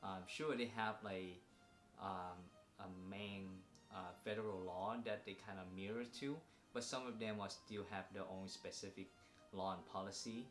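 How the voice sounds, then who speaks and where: A young man from Vietnam with a Vietnamese accent, co-hosting a casual advice vlog, talking haltingly with many fillers and restarts. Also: low voice, steady tone, fairly bright tone, reverberant, faint music behind. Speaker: vlog co-host.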